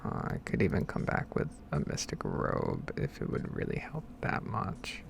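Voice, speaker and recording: ASMR gaming host, male, hushed and hesitant, crackly vocal fry, pitch that swings widely. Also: huge pitch swings, moderate pace. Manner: rambling, hesitant. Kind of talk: ASMR, soft-spoken and whispered game commentary.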